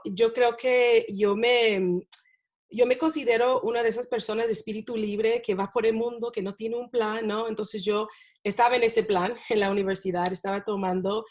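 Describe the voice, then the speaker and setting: A woman, a non-native Spanish speaker, talking as a guest about teaching in a relaxed interview, with many fillers and tag questions. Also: on the high side, moderate pace. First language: not Spanish. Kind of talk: casual interview talk.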